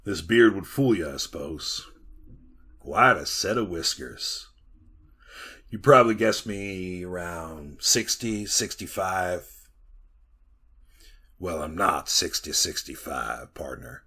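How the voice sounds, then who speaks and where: Bearded Montana miner, low pitch, hard-edged, folksy rambler, radio drama monologue. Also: male voice, lively intonation, takes it slowly, pauses often, bright, forceful tone, husky, full character voice. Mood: folksy, wry. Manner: folksy, rambling, addressing listener as partner. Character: weathered bearded Montana copper miner, 34, haunted yarn-spinner.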